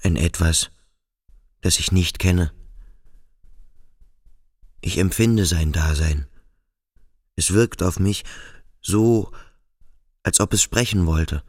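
A male actor playing a brooding, introspective schoolboy who questions himself slowly and deliberately in a literary radio drama. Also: sits low, darkish tone, dry recording, clean studio sound. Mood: brooding, uneasy. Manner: slow, deliberate, self-questioning. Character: brooding, introspective schoolboy pondering his own strangeness.

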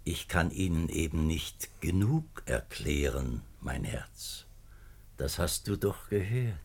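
An actor playing a beleaguered, earnest government minister in a 1950s German-language radio play, formal and weighty in speech. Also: male voice, big pitch swings, slow pace, darkish tone, exaggerated voice. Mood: bitter, resigned. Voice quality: husky low voice.

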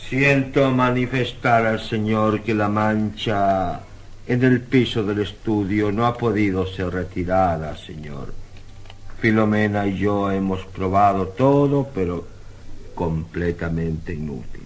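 An actor playing a deferential manservant in a radio drama, speaking formally and stiffly. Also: male voice, lowish voice, slow pace. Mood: apologetic.

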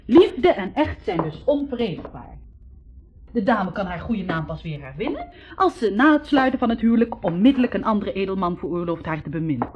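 An actress playing an unsentimental young woman student, brisk and didactic, in a 1960s radio play. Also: medium pitch, sing-song, soft background music, natural voice. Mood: didactic, ironic. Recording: muffled old radio recording.

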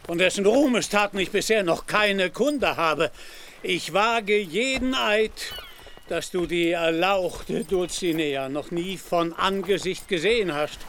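A man's voice: sits high, a little slow, fairly bright tone, a little hiss or hum. A man playing a proud, deluded knight-errant in a radio drama, declaiming pompously in archaic, grandiloquent phrases and easily enraged. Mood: solemn defiance. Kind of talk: theatrical radio drama acting.

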